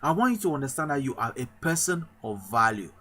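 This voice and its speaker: A man's voice: medium pitch, sing-song, fairly quick, sharp tone. A man from Cameroon, a pastor, giving an emphatic motivational sermon with a repetitive rallying cadence.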